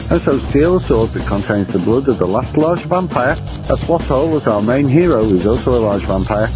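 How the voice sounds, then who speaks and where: A man reads a scripted review as a presenter, formal in wording and wry in manner. His mid-pitched voice has a slightly dull, radio-like sound, with guitar music clearly playing behind it. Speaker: film review segment presenter. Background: prominent guitar music.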